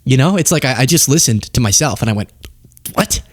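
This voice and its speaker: Animated, lively male political commentator. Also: mid-range voice, fast. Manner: animated, sarcastic, self-mocking, joking. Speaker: political commentary show host. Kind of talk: casual off-the-cuff political commentary.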